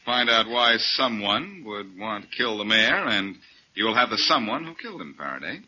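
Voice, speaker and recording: An actor playing a cocky, quick-witted amateur detective in a 1940s radio drama, breezy and self-assured, on an old recording. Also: male voice, lowish voice, expressive, sharp tone, breathy edge, dull audio, exaggerated voice. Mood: cocky, teasing.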